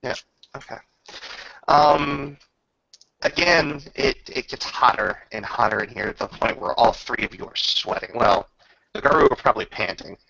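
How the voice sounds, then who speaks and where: A man working as game master, narrating a tabletop roleplay and talking rules casually in a halting way, pausing mid-sentence. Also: medium pitch, gravelly, pushed and bright, highs cut off.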